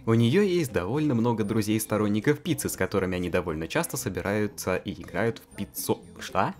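Joking man narrating with lively intonation. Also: lowish voice, brisk. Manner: mocking, laughs often, crude slang.

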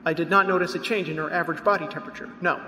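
A man's voice: on the high side, fairly even intonation, very fast, sharp tone. A man playing an unemotional, literal-minded artificial crewman in an audio drama, speaking formally and precisely. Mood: matter-of-fact. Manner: formal, precise, matter-of-fact. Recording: echoey reverberant spaceship ambience noise.

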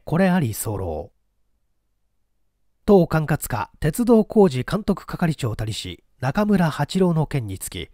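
A man's voice: on the high side, mellow, dry recording, clean studio sound. A man reading a mystery story aloud as scripted, dramatic narration. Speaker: audiobook reader.

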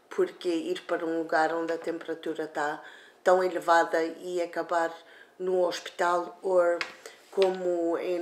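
A woman in her fifties co-hosting a community TV show, talking slowly and haltingly with many fillers at a table in a living room. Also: on the low side. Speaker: community TV co-host. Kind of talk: casual talk to camera. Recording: echoey room.

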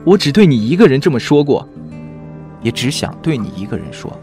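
A man's voice: medium pitch, sing-song, brisk, lightly acted. A man playing a devoted, stubborn young ex-lover in a modern audio drama, earnest and pleading. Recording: music under the voice.

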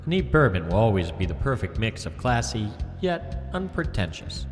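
A man voicing a relaxed, teasing bartender in a visual novel. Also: fairly low pitch, normal speed. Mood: breezy. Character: easygoing, teasing male Australian bartender. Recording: music playing behind the voice.

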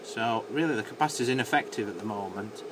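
Low-voiced man explaining, noisy workshop recording. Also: fast. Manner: matter-of-fact, explanatory. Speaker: electronics repair technician presenting. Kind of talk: unscripted technical demonstration narration. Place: indoor electronics workbench. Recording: noisy handheld camera audio in workshop. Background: clear background noise.

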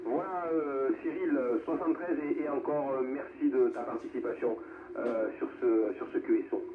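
A man's voice: medium pitch, normal speed. Man hosting over a noisy, tinny radio link. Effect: heard over amateur radio. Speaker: amateur radio net host. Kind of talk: ham radio round-table moderation. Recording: noisy, echoing, narrow-band radio reception.

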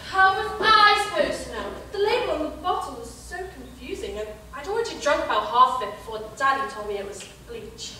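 A woman's voice: high-pitched, animated, fairly quick, few pauses, sharp tone, slight background noise. A woman playing a naive, whiny, sheltered thirteen-year-old princess in a stage pantomime. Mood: defensive, childlike. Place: on stage in a theatre, far camera. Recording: distant, echoey theatre recording.

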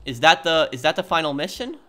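A man giving casual gameplay commentary in a hesitant, halting way full of ums. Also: fairly high pitch, very animated, bright, forceful tone. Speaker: gamer, video host.

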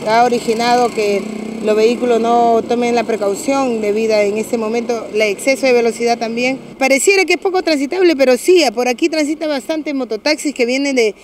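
Measured Peruvian woman giving an official statement. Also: a little high, noisy background. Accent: Peruvian Spanish. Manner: measured, official, with many fillers and restarts. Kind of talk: street interview statement. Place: outdoors on a street. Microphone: several handheld press mics.

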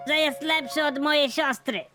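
A woman's voice: moderate pace, pushed and bright, soft background music. A woman voicing a dim-witted, panicky young male kidnapper who talks brashly and crudely. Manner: brash and crude, with swings in pitch.

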